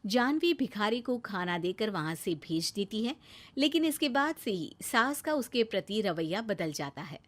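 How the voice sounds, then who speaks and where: A woman's voice: medium pitch, sing-song, normal speed. A woman reading scripted narration for a moral story as its narrator.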